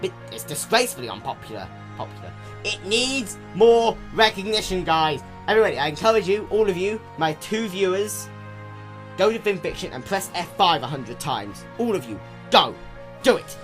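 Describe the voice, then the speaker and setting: A man delivers an off-the-cuff review in a casual, rambling manner. His pitch is highly animated, with exaggerated stress and mock-lordly flourishes. Faint music sits behind him. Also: moderate pace. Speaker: fan fiction reader and reviewer.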